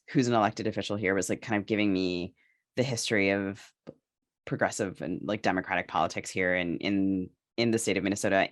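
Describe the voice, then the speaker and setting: A woman speaks as a podcast co-host in a casual, conversational way. She circles through her thoughts in halting phrases, often dropping in "like" as she goes. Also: very deep voice. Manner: hesitant phrasing with frequent "like" and "right". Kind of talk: casual discussion.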